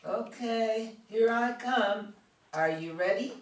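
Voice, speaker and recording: A woman's voice: medium pitch, slow pace, darkish tone, natural voice. A woman playing a dramatic teenage daughter who announces herself grandly, with affected sophistication, in a play reading. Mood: grand, excited. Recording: roomy, distant microphone.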